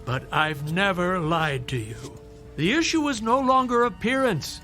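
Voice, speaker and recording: A sardonic, long-suffering older physician for radio drama. His voice is high for a man, and his phrasing is eloquent and drily patient, with faint music underneath. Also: animated, a little slow, sharp tone, exaggerated voice. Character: wry, urbane, long-suffering older male doctor.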